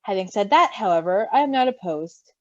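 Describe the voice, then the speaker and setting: A woman's voice: medium pitch, expressive, a little fast, a little mellow, muffled recording, lightly acted. A woman voicing a level-headed, pedantic man in a story, with a precise, formal manner. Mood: reluctant agreement.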